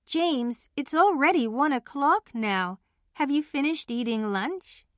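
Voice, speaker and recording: A friendly girl played by a woman speaks scripted textbook dialogue, every word carefully formed. Her voice is fairly high and her pace is slow. The sound is dry and close. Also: lively intonation, dry recording. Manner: careful, deliberate, clearly enunciated. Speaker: listening test voice actor. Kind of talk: scripted English lesson dialogue.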